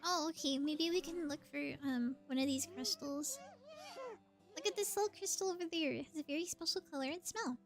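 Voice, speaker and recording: Woman, very high voice, chatty game streamer, thinking aloud, casual commentary, faint music behind. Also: a little fast. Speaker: livestreamer.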